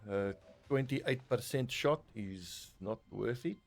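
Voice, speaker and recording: A man's voice: mid-range voice, takes it slowly, creaky, darkish tone, soft background music. A man casually commentating his own strategy game play, thinking aloud and swearing now and then. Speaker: gaming video host.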